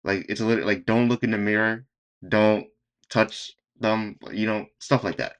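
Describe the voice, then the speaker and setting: Deadpan nonchalant man reacting. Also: on the low side, moderate pace, smooth. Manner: nonchalant, deadpan, hesitant fillers. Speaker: reaction video host. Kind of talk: casual reaction commentary.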